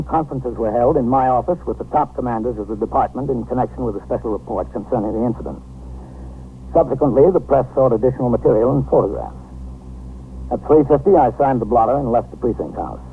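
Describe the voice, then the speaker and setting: An actor playing a weary, matter-of-fact police captain in a 1950s radio drama, speaking in a clipped, official manner. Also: male voice, mid-range voice, phone-quality sound, natural voice. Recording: noisy, thin 1950s radio broadcast recording.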